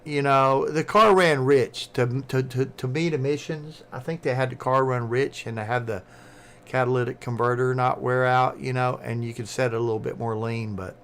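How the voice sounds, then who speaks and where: A man's voice: medium pitch, fairly even intonation, a bit bright. Rambling man with a Southern American accent. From southern United States. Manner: fast-talking, rambling. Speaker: live streamer. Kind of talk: casual chat.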